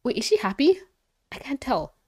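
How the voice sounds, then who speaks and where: A young adult woman reviewing a cartoon episode in casual commentary, gushing and excitable, swearing freely, sounding congested and nasal. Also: sits high, moderate pace.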